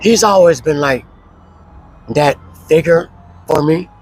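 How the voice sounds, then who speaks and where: A man with a hoarse voice, casually telling his life story as an interview guest, slow and relaxed with many fillers. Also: a little high.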